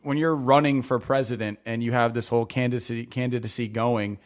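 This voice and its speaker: A man in an easygoing podcast chat, speaking at an ordinary mid pitch. He rambles through his points with plenty of fillers and laughs easily along the way. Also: steady tone, fairly quick. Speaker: podcast co-host. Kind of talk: casual chat.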